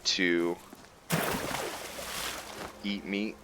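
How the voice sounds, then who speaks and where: A man's voice: low voice, level tone, very slow, pushed and bright, slight background noise. A man giving casual roleplay gameplay commentary, thinking aloud to himself as he plays. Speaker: gaming video host. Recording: background music under voice. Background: game music.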